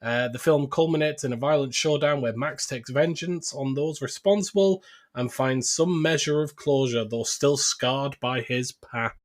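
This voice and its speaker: A man's voice: mid-range voice, moderate pace. A man speaking as a podcast host in casual discussion. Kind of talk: casual chat and plot summary.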